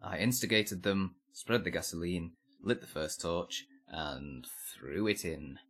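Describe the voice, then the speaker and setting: A man's voice: sits low, unhurried, a bit bright, heavily acted. A man playing a defiant, zealous nineteen-year-old blacksmith's apprentice in an audio drama, answering an interrogation in a terse, bitterly sardonic manner. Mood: cold, defiant.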